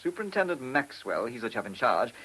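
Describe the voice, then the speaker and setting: An actor with a British accent playing a blunt, informal detective sergeant in a radio play. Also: male voice, medium pitch, big pitch swings, fairly quick, pushed and bright, slight background noise, poor audio. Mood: reporting. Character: detective sergeant, cheeky, matter-of-fact assistant to inspector.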